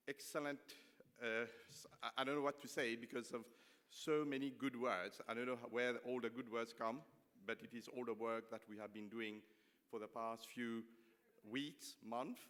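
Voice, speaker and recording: A man giving informal closing remarks as a conference organiser, in a halting way, often repeating and rephrasing himself. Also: mid-range voice, fairly bright tone. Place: large conference hall. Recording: echoey hall with long reverb.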